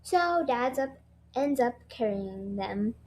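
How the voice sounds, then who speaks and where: A woman's voice: on the high side, expressive, takes it slowly, a little mellow, a little hiss or hum, low-quality recording. A woman reading a children's storybook aloud, sometimes stumbling over her words. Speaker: storybook read-aloud presenter.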